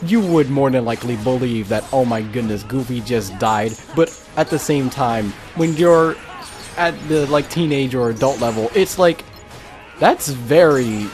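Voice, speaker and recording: Young male let's player, mid-pitched and expressive, rambling, exasperated, chatting over gameplay. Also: a little slow. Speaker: gaming video host. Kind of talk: casual let's play commentary. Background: game music.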